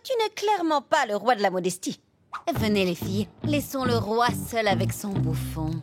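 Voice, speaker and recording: A woman's voice: medium pitch, very animated, moderate pace. A woman voicing a bossy, sarcastic female pet in a French cartoon, scolding like an exasperated know-it-all. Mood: sarcastic, haughty. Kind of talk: cartoon voice acting. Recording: loud music behind the voice.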